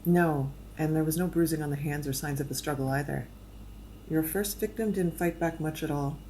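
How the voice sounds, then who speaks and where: A woman playing a low-voiced, dry medical examiner. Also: moderate pace, mellow, slight background noise, natural voice, poor audio. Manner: clinical, dry. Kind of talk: audio drama acting.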